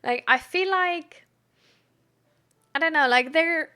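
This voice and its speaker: A woman chatting casually like a livestream host reading and answering messages, halting and giggly, with lots of "like" as filler. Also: high-pitched, pushed and bright.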